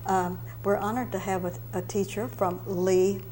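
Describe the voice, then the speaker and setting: A woman's voice: mid-range voice, little pitch movement, a little slow, mellow, a little hiss or hum, low-quality recording. A woman speaking formally and courteously as the host of a local interview show.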